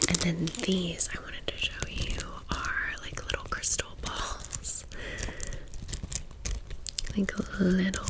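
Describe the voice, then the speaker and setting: An American woman in her thirties whispering an ASMR storytime in a breathy, casual, confiding, rambling way. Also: fairly low pitch, flat delivery, slow pace, long pauses, poor audio. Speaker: ASMR video host.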